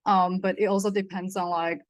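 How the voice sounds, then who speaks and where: A woman's voice: fairly low pitch, flat delivery, a little fast, dry recording. Woman, panelist, thoughtful, fillers, dry sound. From Taiwan. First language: Mandarin. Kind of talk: personal account on a webinar.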